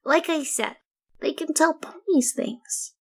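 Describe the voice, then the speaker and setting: Odd mare read by a woman for pony storybook: highish, creaky, halting, ominously simple. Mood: ominous, earnest. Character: odd, rambling female pony warning of unseen beings.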